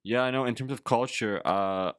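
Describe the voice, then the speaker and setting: A man's voice: medium pitch, moderate pace, a bit bright, clean tone, no echo, studio quality. A man hosting a casual conversation, talking reflectively and rambling with lots of "like". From Canada. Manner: reflective, rambling, heavy use of "like".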